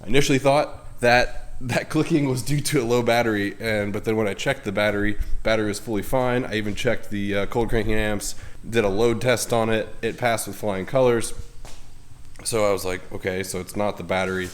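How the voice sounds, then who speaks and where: A man's voice: fairly low pitch, steady tone, brisk. A young man with a head cold and a congested voice, chatting casually as host of his car channel in his home garage, rambling and poking fun at himself. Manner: chatty, self-deprecating, rambling with fillers. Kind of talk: casual garage vlog talk.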